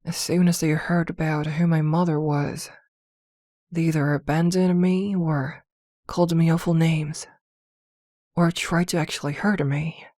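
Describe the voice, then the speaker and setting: A woman playing a possessive, protective witch girlfriend in an intimate ASMR roleplay, with a soft, coaxing murmur. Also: sits low, steady tone, measured pace, no room sound, clean studio sound. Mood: wistful.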